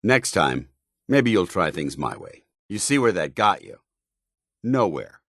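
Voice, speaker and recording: An actor playing a stern, loyal, idealistic soldier companion in a video game, with a clipped, earnest, authoritative military delivery. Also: male voice, low-pitched, very animated, measured pace, gravelly, fairly bright tone, no room sound, studio quality, exaggerated voice. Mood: stern, disappointed. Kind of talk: video game character dialogue.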